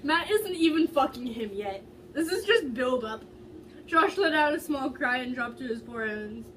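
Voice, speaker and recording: Female reader, high-pitched and giggly, dramatic amateur storytelling with stumbles, recorded away from the mic. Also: animated, moderate pace, fairly bright tone. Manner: giggly, stumbling over words. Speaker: fan fiction reader. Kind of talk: dramatic reading aloud of fan fiction, with casual giggly asides. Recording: distant mic with faint background noise.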